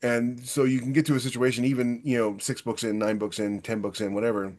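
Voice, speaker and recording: A man's voice: medium pitch, level tone, quick pace, darkish tone. A man co-hosting a podcast, explaining his views in casual, conversational discussion. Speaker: podcast co-host.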